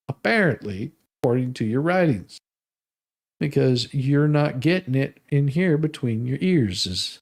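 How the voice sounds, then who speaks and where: A man's voice: on the high side, darkish tone. A man hosting a live stream, reading documents aloud and adding off-the-cuff, sardonic and mocking commentary.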